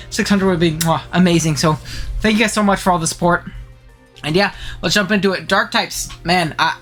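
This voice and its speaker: A man's voice: a little high, a bit bright. A man hosting a casual game-analysis show, talking chattily and enthusiastically while sniffling from a head cold. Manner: fast, chatty, enthusiastic gamer talk with fillers. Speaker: channel host.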